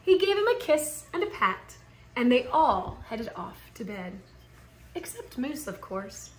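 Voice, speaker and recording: A woman in her thirties reading a children's picture book aloud in a theatrical, expressive way with drawn-out emphasis. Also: on the high side. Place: living room at home, indoors. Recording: roomy home recording.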